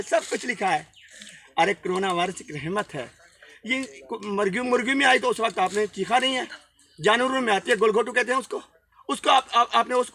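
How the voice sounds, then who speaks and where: A man's voice: sits high, creaky, sharp tone, heavily acted. A man voicing a boastful, rambling third-gender character in a comic monologue, repeating himself and admitting his tales are made up. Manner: feminine speech put on.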